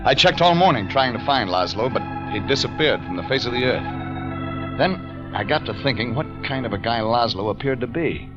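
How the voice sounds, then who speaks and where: A man playing a tough, wry private detective in a 1940s radio drama, clipped and deadpan in both narration and dialogue. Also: medium pitch, normal speed, lightly acted. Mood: narrating, dry. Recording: dull vintage radio, organ music behind.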